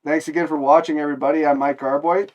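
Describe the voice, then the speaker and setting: A man's voice: fairly high pitch, steady tone, fast talker, a little mellow, muffled recording. A young man hosting a podcast, chatting with a guest in an easygoing, friendly, bantering way. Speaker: podcast host. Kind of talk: casual interview chat.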